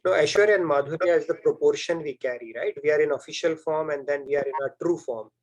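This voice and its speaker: A man's voice: on the high side, fast, darkish tone, rough recording. A man hosting an online session, explaining fluently over a video call and checking in with "right?". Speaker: host.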